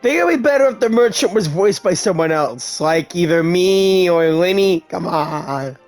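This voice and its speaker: Female gamer, lowish voice, very animated pitch, casual live commentary, reactive. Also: normal speed. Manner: expressive, reactive. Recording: game music behind the voice.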